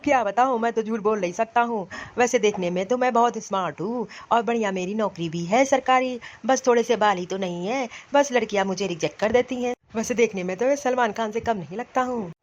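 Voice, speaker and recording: A woman's voice: fairly high pitch, fairly quick, few pauses, lightly acted. A woman playing a boastful, touchy balding bachelor in a comedy cartoon, bantering with self-satisfied retorts. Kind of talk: Hindi comedy cartoon dialogue. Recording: dull, lo-fi cartoon audio.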